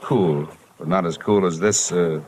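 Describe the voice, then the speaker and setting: An actor playing a hard-boiled, wisecracking insurance investigator in old-time radio detective style, clipped and sardonic. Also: male voice, deep voice, unhurried, a little mellow. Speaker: lead investigator and narrator. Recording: hissy vintage radio recording.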